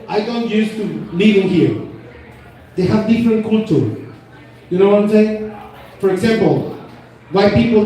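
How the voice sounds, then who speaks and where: A man's voice: sits high, warm tone. A Mexican-born man, a native Spanish speaker, doing a stand-up comedy set in broken English mixed with Spanish slang, self-mocking. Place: comedy club stage. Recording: echoey room from far off, crowd behind.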